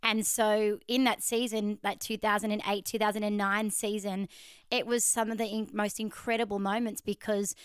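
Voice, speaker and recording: A woman hosting a casual interview, telling stories in a chatty, animated way. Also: mid-range voice, moderate pace, clear, resonant tone, no room sound, studio quality. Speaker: host.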